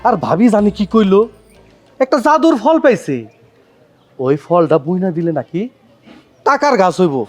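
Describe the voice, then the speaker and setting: A man's voice: high-pitched, sing-song, moderate pace, pauses often, soft background music. A man playing a scheming village neighbor. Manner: brusque, bossy with his wife.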